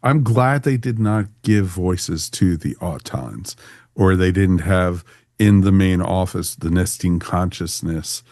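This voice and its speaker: A man's voice: low voice, slow, darkish tone, dry recording, studio quality. A man hosting a casual panel review chat, talking conversationally. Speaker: podcast host.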